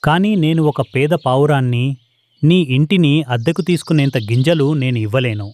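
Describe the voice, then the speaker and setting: A man's voice: mid-range voice, fairly quick, a little mellow, slight background noise, close to an everyday voice. A man playing a poor, trusting father pigeon, earnest and anxious, in a children's cartoon. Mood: surprised, hesitant. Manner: earnest, innocent, pleading.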